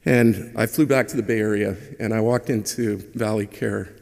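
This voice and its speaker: A middle-aged man, Hungarian his first language, delivers a eulogy into a lectern microphone in a large church. His voice is somewhat low and gravelly, and he talks conversationally, with light humor and frequent hesitations. A long church reverb trails each phrase. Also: steady tone, moderate pace, darkish tone. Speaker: son giving a eulogy. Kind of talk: funeral eulogy.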